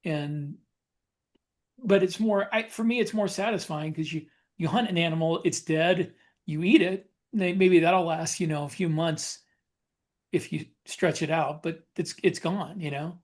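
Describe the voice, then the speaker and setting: A man co-hosting a podcast, telling personal anecdotes in a casual, rambling, halting way with self-corrections. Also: on the high side. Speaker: podcast co-host.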